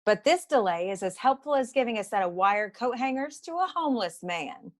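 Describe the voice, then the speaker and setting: A woman's voice: fairly high pitch, lively intonation, natural voice. An actress playing a blunt, no-nonsense woman who works in a detective's office, in a noir comedy radio play. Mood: exasperated. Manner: brisk, blunt. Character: exasperated, no-nonsense female office associate of a detective. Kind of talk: comic noir radio acting.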